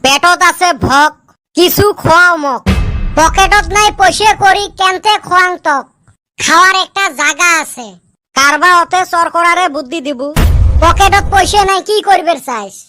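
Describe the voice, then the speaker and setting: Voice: very high voice, moderate pace, over music. A performer voicing a mischievous, bickering village boy in a comedy cartoon, speaking in the Rajbongshi dialect of northern Bengal. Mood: bantering. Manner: colloquial, bantering, complaining.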